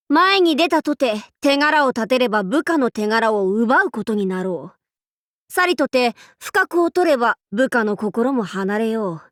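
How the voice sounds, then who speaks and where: A woman's voice: on the high side, no echo, studio quality. A woman playing a proud, boisterous warlord in an anime game, with pompous, playful, archaic lordly speech. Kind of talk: anime game character voice lines.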